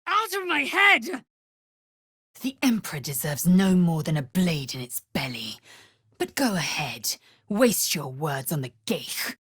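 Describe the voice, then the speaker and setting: A fierce young female alien warrior from a fantasy video game, very low and husky, with strongly varied pitch. She speaks in clipped, archaic, haughty phrases, proud and disdainful. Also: big pitch swings, a little slow, fairly bright tone, dry recording, studio quality, heavily acted. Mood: fierce, commanding. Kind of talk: video game character voice lines.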